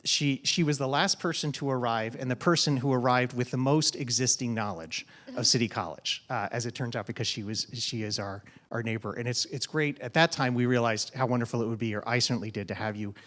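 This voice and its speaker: A man, a college dean, introducing a guest and moderating a public conversation at an event, speaking in a measured way with frequent ums. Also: mid-range voice, a bit bright.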